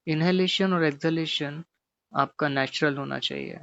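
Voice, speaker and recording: A man teaching guided meditation, giving gently repetitive, step-by-step instructions. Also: fairly high pitch, fairly even intonation, normal speed, gravelly, no echo, highs cut off.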